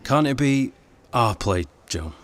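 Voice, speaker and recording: Radio drama: theatre-company founder, persuasive and conciliatory yet insistent, lively intonation. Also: male voice, medium pitch, takes it slowly. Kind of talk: audio drama acting.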